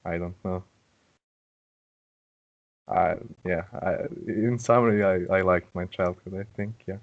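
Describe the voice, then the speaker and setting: A man's voice: low-pitched, steady tone, unhurried, highs cut off. A young man from Serbia, a Serbian native speaker, introducing himself and correcting himself often in a casual online chat. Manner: hesitant, self-correcting.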